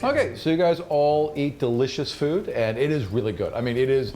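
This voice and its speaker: A man improvising narration as game master of a tabletop fantasy game, casual and animated, acting out sound effects and reactions. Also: medium pitch, brisk, soft background music. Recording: clearly noisy recording.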